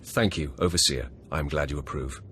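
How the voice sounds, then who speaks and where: An actor playing a cool, calculating young Sith acolyte in a video game, measured and sardonic. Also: male voice, very deep voice, sharp tone, faint music behind. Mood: composed, deferential. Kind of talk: video game cutscene acting.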